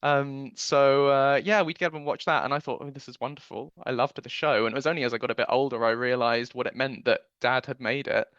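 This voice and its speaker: A man's voice: medium pitch, fairly even intonation, brisk, hardly any pauses, a bit bright, smooth, no echo, lo-fi sound. A man giving chatty, enthusiastic interview answers as a producer, recounting memories in a conversational way.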